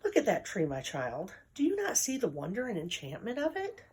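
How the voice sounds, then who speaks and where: A woman voicing a small, kindly old male dwarf who coaxes gently. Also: mid-range voice, wildly swooping pitch, brisk, husky, full character voice. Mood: coaxing. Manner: coaxing, gently insistent.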